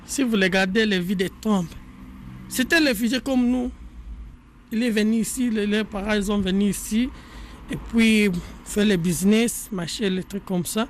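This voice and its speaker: A man in his twenties with a Congolese accent, speaking haltingly and simply in an interview. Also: high voice, a little hiss or hum. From Democratic Republic of the Congo. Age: 20s. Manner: halting, simple phrasing in rusty French. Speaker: interviewee, refugee entrepreneur. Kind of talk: spontaneous interview testimony.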